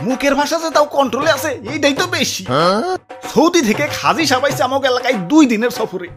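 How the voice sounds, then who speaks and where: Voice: high-pitched, fast, natural voice. A performer with a Bangladeshi accent voicing a hot-tempered, grumbling neighbour in a comedy cartoon, with dramatic colloquial ranting. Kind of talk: exaggerated comedy cartoon dubbing. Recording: music behind the voice. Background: comic music.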